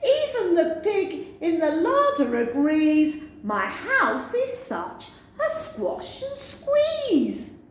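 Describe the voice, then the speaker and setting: A woman's voice: very high voice, wildly swooping pitch, drawn-out words, voice pushed up, heavily acted. A woman voicing a flustered, grumbling little old woman from a storybook, wailing and pleading. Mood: distressed wailing. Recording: very echoey room, faint noise.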